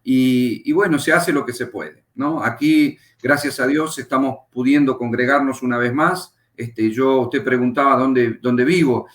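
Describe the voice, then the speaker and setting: A middle-aged Argentine man, a pastor and Bible teacher, calmly telling his life story as a guest in an interview. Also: medium pitch. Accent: Argentine Spanish. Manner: unhurried, reflective, pauses with fillers. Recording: echoey room.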